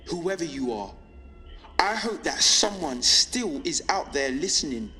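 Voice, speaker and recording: An actor playing a defiant, lonely young male rebel on pirate radio in an audio drama, speaking intensely and deliberately in short rhythmic phrases. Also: high voice, bright, forceful tone, exaggerated voice. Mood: anguished, searching. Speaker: underground radio broadcaster. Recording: reverberant space with background noise.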